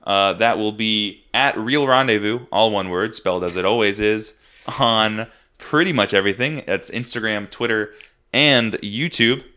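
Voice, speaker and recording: Young guy w a tired gravelly voice rambling about a movie, low but bright. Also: moderate pace. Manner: rambling, many restarts and fillers. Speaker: podcast co-host. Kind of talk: casual movie review chat.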